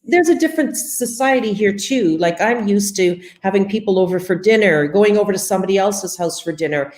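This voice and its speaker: A woman's voice: medium pitch, darkish tone, reverberant. A woman from Canada, the guest, talking casually with lots of fillers and acting out little exchanges. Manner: chatty, many fillers, acts out quoted exchanges. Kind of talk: casual interview chat.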